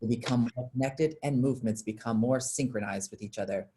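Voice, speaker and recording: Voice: mid-range voice, brisk, mellow, a little hiss or hum. A narrator reading scripted stage directions for a staged play reading.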